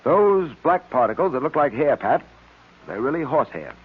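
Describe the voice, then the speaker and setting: An American actor playing a confident, brainy police detective in an old radio serial, explaining things with composure. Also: male voice, a little high, big pitch swings, normal speed, slightly rough. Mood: instructive, composed. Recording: tinny, band-limited old radio recording.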